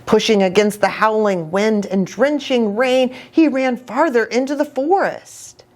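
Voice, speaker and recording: A woman's voice: fairly high pitch, measured pace, darkish tone. A woman reading a children's story aloud expressively, adding little asides for young listeners. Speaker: children's storybook reader, pastor.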